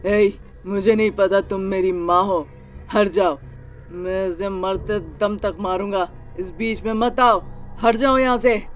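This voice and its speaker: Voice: mid-range voice, steady tone, natural voice. An actor voicing a brutal, jealous, drunken husband in a dramatised story, threatening and domineering, with music behind. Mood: furious. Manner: slow, threatening, bullying. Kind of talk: dramatised Hindi audio story.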